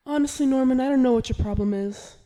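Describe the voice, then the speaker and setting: A man's falsetto as aging woman Clarice. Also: fast, warm tone. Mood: strained. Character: aging woman Clarice, weary, tender, conflicted.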